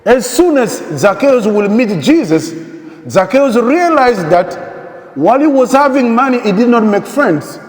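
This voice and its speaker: A man's voice: very high-pitched, big pitch swings, a little slow. A middle-aged man from the Democratic Republic of Congo, a priest preaching a sermon with repeated, emphatic phrases and rhetorical questions. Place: large church, indoors. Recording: echoey church with long reverb.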